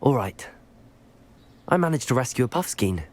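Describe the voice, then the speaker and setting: A man's voice: medium pitch, very animated, normal speed, natural voice. An actor playing a polite, keen young male student wizard in a video game. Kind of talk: video game character dialogue.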